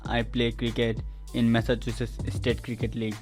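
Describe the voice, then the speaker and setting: A young man reads a personal narration in a flat, even tone. He is measured and plain, with soft instrumental music behind him. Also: mid-range voice. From Bangladesh. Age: teens to young adult. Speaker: student narrator. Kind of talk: first-person scripted narration.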